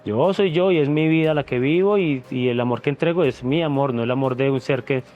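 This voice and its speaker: Man, earnest, reflective, halting repeats, medium pitch, soft music behind. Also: muffled recording. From Venezuela, raised in Colombia. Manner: earnest and reflective, repeats words as he searches for them. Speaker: interview guest, former reality show contestant. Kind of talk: emotional sit-down interview.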